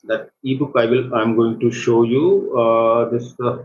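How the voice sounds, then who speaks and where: A man with an Indian accent gives an informal live software tutorial as an online instructor, speaking in a halting, hesitant way. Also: mid-range voice, steady tone. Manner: halting, hesitant delivery with repeated check words. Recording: roomy echo, dull lo-fi microphone, faint noise.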